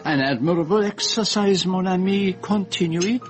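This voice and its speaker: An actor playing a shrewd, courteous and pompous little Belgian detective in a radio drama, with a French-speaking Belgian accent and precise, theatrical phrasing. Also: male voice, sits high, normal speed, faint music behind, lightly acted. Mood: amused, emphatic. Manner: precise, formal, theatrical, teasing.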